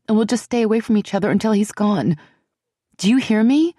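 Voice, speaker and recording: A woman's voice: mid-range voice, a little fast, mellow, no echo, clean studio sound, voice pushed up, close to an everyday voice. A woman voicing an earnest young woman who speaks firmly and reassuringly. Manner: earnest and firm.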